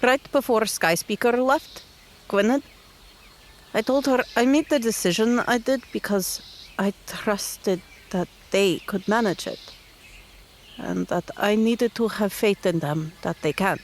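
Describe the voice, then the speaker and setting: A woman's voice: mid-range voice, a little slow, slight background noise, natural voice. A woman playing a determined, fiercely loyal young female adventurer in tabletop roleplay, speaking earnestly and emphatically. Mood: resolute, loyal.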